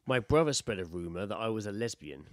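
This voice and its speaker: A man's voice: lowish voice, very animated, quick pace, no echo, clean studio sound. A British man co-hosting a podcast in casual, jokey, sweary banter, sometimes reading stories out. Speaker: podcast co-host.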